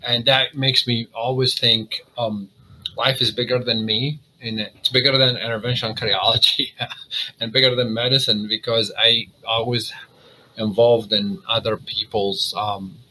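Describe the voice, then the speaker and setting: Earnest Syrian-born man, bright tense voice. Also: fairly low pitch, a little hiss or hum, rough recording. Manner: earnest, halting, many fillers and restarts. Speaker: interventional cardiologist guest. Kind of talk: casual interview talk.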